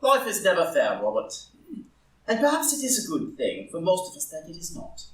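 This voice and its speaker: A distant, roomy recording of a man acting a witty, dandyish gentleman in a stage drama. He speaks in polished, urbane phrases, with lively swings of pitch. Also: brisk. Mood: urbane, wry. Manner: epigrammatic, urbane, formal. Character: languid witty English gentleman, dandyish loyal friend. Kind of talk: live group play reading. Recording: roomy echo, far from the mic.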